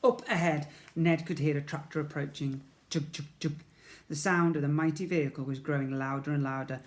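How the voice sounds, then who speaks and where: A man reads a storybook aloud for young children. His delivery is expressive and playful, with dramatic emphasis, repeated words and spoken sound effects. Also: fairly high pitch, a little fast. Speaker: primary school teacher reading to children.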